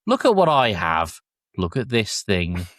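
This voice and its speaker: A man's voice: on the low side, wildly swooping pitch, measured pace, fairly bright tone, no echo, clean studio sound. A man hosting a podcast in casual review chat, with a sweary, exasperated ranting way of talking. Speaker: podcast host.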